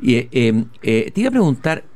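A man hosting an interview, speaking haltingly with many "eh" restarts and repeated words. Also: mid-range voice, very animated, a little mellow, dry recording. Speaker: interviewer, host. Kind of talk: conversational interview. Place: outdoor school courtyard.

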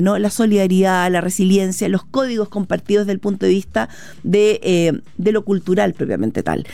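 A woman's voice: mid-range voice, warm tone, no room sound. A Chilean woman co-hosting a news talk show, explaining stories at length with frequent fillers. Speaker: news co-host. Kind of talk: radio news chat.

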